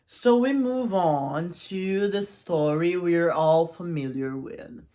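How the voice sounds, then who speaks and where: A woman casually retelling and analysing a story in an informal, animated storytelling manner. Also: fairly low pitch, takes it slowly. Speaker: video essayist and book reviewer.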